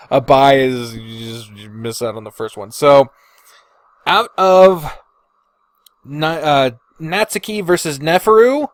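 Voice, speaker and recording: A man's voice: mid-range voice, no room sound. A man hosting a podcast in casual unscripted chat, hesitant as he weighs his choices and given to swearing. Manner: hesitant deliberating, sighing, frequent swearing. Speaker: podcast host. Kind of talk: casual unscripted chat, ranking fictional characters.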